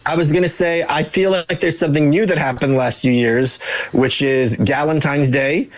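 A man on a casual panel chat, trading quick wisecracking quips. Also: on the high side, steady tone. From Brooklyn.

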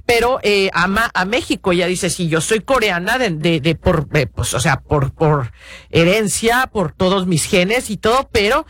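High-pitched guy on the radio, rambling on earnestly, lots of ums, dry close sound. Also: lively intonation, measured pace, a bit bright, no echo. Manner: earnest, rambling, many restarts and fillers. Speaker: radio host. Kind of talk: casual radio talk to parents.

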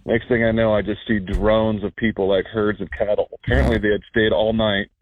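A man's voice: lowish voice, level tone, a little fast, no echo. A man leaving an amused, incredulous voicemail as a caller. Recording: tinny phone voicemail.

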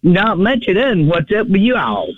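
Southern lady w a deep mannish voice, blunt, calling in over a tinny phone. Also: sing-song, normal speed. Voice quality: deep Southern voice, often taken for a man. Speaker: caller.

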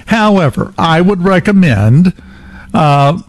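A man's voice: high-pitched, lively intonation, takes it slowly, a little hiss or hum. A man hosting a casual radio interview, emphatic and joking as he stresses his points. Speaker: radio host.

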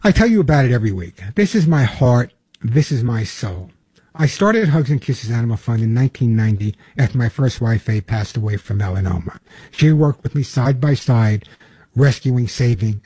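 A man's voice: medium pitch, lively intonation, normal speed, warm tone, slightly rough, no room sound, rough recording. An older man hosting a long-running pet radio show, talking in an emphatic, folksy monologue that repeats its points. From Long Island, New York.

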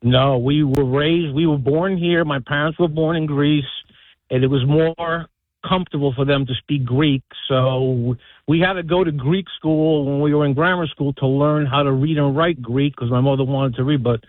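A man calling in to a radio show over the phone, telling stories in a halting way and repeating words as he explains. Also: on the high side, fairly even intonation, no room sound. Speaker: caller. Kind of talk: phone-in conversation. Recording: tinny phone line.

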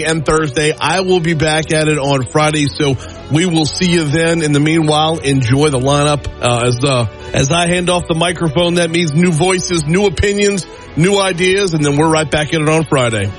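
A man hosting a radio show, making a relaxed, friendly on-air announcement. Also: on the high side, normal speed, soft background music, rough recording.

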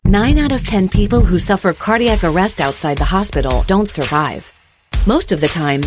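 A woman reading an earnest public service announcement. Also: fairly low pitch.

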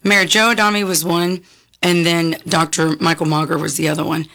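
A woman with a raspy, hoarse voice, a guest chatting casually on a radio talk show, clearing her throat often and restarting her sentences. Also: on the low side, level tone, normal speed, fairly bright tone. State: hoarse from talking a lot. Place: radio studio.

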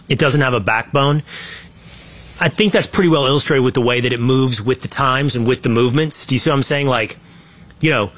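Host type, Texan man with a light accent, opinionated, mid pitch. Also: fairly bright tone. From Texas, USA. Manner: conversational, opinionated, with restarts. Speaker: show host. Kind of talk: casual panel chat.

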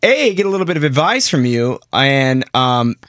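Lively young male radio host. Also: medium pitch, dry recording, clean studio sound. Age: young adult. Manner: jokey, animated, quick. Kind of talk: morning radio banter.

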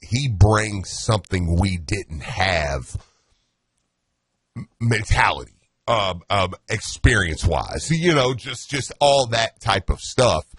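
Low, husky male host, excitable casual sports chatter, dry sound. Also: slow pace, no room sound. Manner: excitable, stammering restarts.

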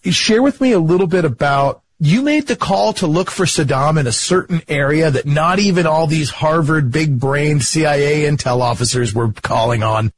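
A man hosting an interview, asking questions with energetic, dramatic recaps. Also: fairly high pitch, normal speed, few pauses, a little hiss or hum. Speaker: podcast host.

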